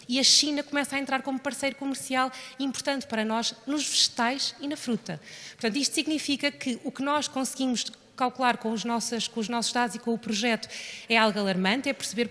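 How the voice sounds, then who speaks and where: Fast-talking woman in a reverberant hall. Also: on the high side, fairly bright tone. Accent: European Portuguese. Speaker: university researcher on a panel. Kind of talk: public talk on policy and research. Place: large indoor hall, public event. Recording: echoey hall with long reverb.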